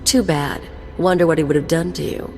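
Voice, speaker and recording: An actress playing a tough, sardonic spy's companion in a video game, with a gravelly creak. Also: female voice, deep voice, steady tone, fairly quick. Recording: long hall reverb, music behind.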